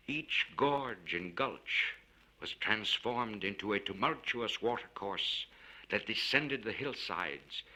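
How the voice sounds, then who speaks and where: Male narrator, very bright forceful voice, lively pitch swings, measured theatrical delivery, dramatic literary reading, vintage LP recording. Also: rough recording. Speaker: audiobook narrator. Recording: vintage spoken-word LP record.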